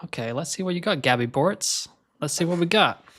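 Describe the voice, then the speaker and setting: A man's voice: on the high side, animated, fairly quick, fairly bright tone. A young man with an American accent, born in Scotland, hosting a casual live stream and chatting while he watches qualifying. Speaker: livestream host.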